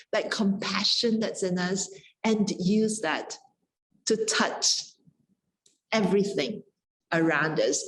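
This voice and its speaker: Slow-talking, earnest woman in reflection. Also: mid-range voice, rough recording. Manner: earnest, contemplative, repeats words for emphasis. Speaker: scholar, panelist. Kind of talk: reflective spoken closing remarks.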